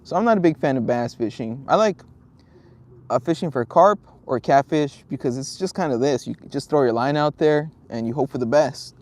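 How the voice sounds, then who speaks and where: A man's voice: mid-range voice, normal speed, darkish tone. A young man from Southern California chatting casually on a fishing livestream outdoors at a lake, reading out viewer comments in a laid-back, rambling way with lots of ums.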